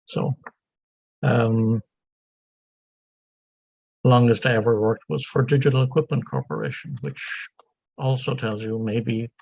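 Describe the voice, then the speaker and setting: Gravelly, husky older Irishman, slow. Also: medium pitch, animated, darkish tone, dry recording, low-quality recording. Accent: Irish, Dublin. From Ireland. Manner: halting, frequent ums, self-deprecating asides. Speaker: guest, software consultant. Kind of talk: unscripted interview answers.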